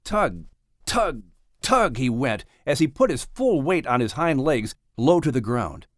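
A man reading a children's story as an audiobook narrator, with expressive, dramatic delivery. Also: medium pitch, unhurried, no room sound, studio quality.

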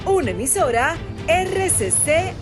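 A woman delivers a radio station promo slowly, in a high voice with lively swings of intonation. Music plays clearly behind her. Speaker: station ident announcer. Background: music bed.

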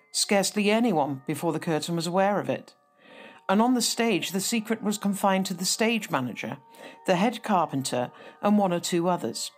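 Woman reading an old report aloud. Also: fairly low pitch. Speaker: narrator reading a newspaper extract. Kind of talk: formal read-aloud narration.

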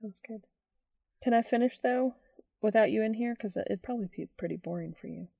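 A woman hosting a fan fiction podcast, reading aloud and chatting gently and informally in a casual, homemade style. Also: a little high, brisk, creaky, dry recording. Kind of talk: casual home chat and read-aloud narration.

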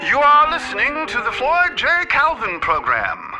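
An actor playing an excitable 1930s radio newsman, reading headlines in dramatic old-time broadcast style. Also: extremely high pitch, moderate pace, music in the background, full character voice. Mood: dramatic, punchy. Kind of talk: 1930s radio news acting.

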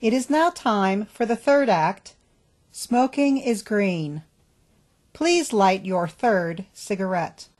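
A formal female announcer played by a woman for a radio play. She delivers scripted announcements at a slow, measured pace, with a medium-pitched voice. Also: expressive, darkish tone.

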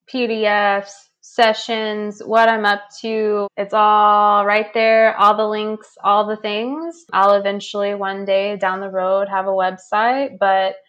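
A woman with a slightly raspy voice, a podcast guest speaking bluntly and casually with lots of "like.". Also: medium pitch, flat delivery, takes it slowly, hardly any pauses, a bit bright, lo-fi sound. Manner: blunt, fervent, peppered with "like". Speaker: podcast guest, human design teacher. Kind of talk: casual conversation.

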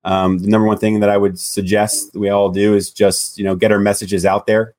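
A man with a loud voice speaking as a podcast guest, relaxed and hesitant, full of "you know"s. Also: on the low side, fairly quick. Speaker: guest, loan officer. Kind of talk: casual interview chat.

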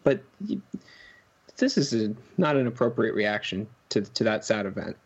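A man's voice: on the low side, very animated, normal speed, slightly rough. A man co-hosting a podcast in casual comic banter, asking mock-indignant questions. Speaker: podcast co-host. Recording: slightly dull, lo-fi sound.

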